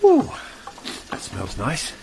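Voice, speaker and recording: An actor playing an anxious, hard-up husband with a gravelly, husky voice, mild and apologetic, in a radio drama. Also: male voice, mid-range voice, huge pitch swings, slow pace, warm tone, a little hiss or hum, full character voice. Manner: mild, apologetic, a little stammering.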